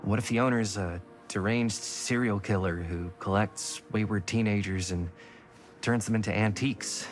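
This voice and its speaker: A man's voice: fairly low pitch, steady tone, moderate pace, soft background music, close to an everyday voice. A man playing a nervous, wisecracking teenage boy. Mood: joking. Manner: hesitant, joking, anxious. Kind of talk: naturalistic video game acting.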